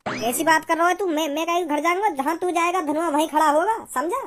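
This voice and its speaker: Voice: very high voice, fast, fairly bright tone. A performer voicing a swaggering, dramatic village boy in a comic cartoon. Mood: cocky banter. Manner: blustering, dramatic. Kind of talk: comic Hindi cartoon dialogue.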